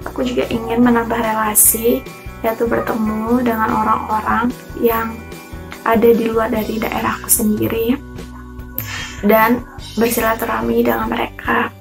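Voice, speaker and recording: A woman giving a steady, deliberate testimonial as a former intern. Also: fairly high pitch, vocal fry, music in the background. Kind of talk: prepared testimonial.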